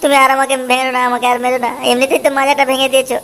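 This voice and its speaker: Man playing a high-pitched, henpecked West Bengal husband. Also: monotone, racing, pushed and bright. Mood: pleading. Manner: pleading, lamenting. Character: henpecked, cowardly, whining husband. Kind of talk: amateur comic cartoon dubbing.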